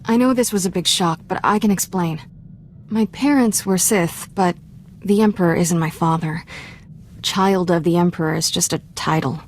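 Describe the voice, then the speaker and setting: A woman's voice: fairly low pitch, moderate pace, slight background noise, natural voice. A woman playing a defiant, wounded young Jedi apprentice in a video game, candid and earnest. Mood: haunted confession. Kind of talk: scripted video game dramatic acting.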